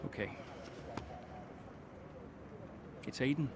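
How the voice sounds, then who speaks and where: An actor playing a weary, prickly veteran racing driver in a video game cutscene, blunt and curt but grudgingly caring. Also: male voice, very fast, very noisy, close to an everyday voice. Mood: defensive, then concerned.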